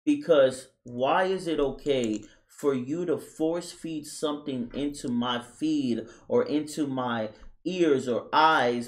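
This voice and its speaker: A man co-hosting a casual podcast with his wife, talking in an emphatic, opinionated way and dropping quick agreements. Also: medium pitch, a little mellow. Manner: emphatic, frequent interjections of agreement. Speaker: podcast co-host.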